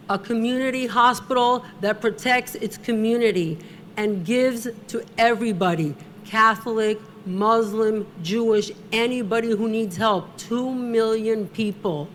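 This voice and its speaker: A woman's voice: on the high side, fairly bright tone, a little hiss or hum. Middle-aged woman giving a heartfelt tribute. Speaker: award presenter at a gala. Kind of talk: prepared tribute speech.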